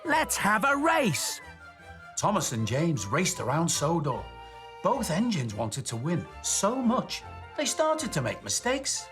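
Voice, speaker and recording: Very animated, high-voiced male children's storyteller. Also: a little slow, faint music behind. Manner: expressive, clearly enunciated for young children.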